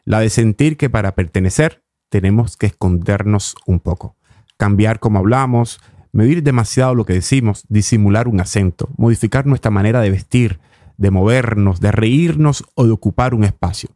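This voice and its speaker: A man with a Cuban accent presenting a reflective music radio show in an unhurried, deliberate way, pausing often. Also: lowish voice, warm tone, no room sound, studio quality. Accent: Cuban Spanish. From Cuba. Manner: unhurried, deliberate, with long pauses. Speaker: radio host. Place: radio studio.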